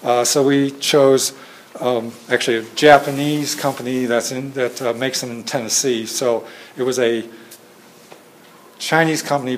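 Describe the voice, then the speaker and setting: Soft-spoken halting man presenting. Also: medium pitch, a little hiss or hum, low-quality recording. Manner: soft-spoken, halting, many fillers and restarts. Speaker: presenter at a training session. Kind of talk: informal presentation with slides. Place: meeting room with audience. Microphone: phone camera in the room.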